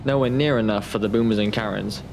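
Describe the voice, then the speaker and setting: A man's voice: lowish voice, steady tone, fast talker, vocal fry, music in the background. A man playing a tired, self-deprecating call-centre worker who banters drily in an audio drama. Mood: wry. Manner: dry, grumbling banter. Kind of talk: naturalistic audio drama acting.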